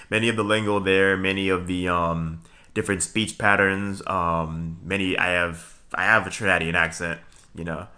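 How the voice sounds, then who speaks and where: Deep-voiced rambling Brooklyn guy. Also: bright, forceful tone. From Brooklyn, New York. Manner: halting, many fillers and restarts, addresses viewers with "okay?"; chuckles between points. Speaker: vlogger. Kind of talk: rambling solo monologue.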